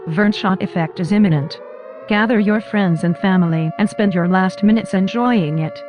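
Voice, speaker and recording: A woman's voice: mid-range voice, normal speed, darkish tone, lo-fi sound, soft background music. A woman's synthetic text-to-speech voice reading scripted emergency broadcast announcements in a formal, impersonal, clipped manner.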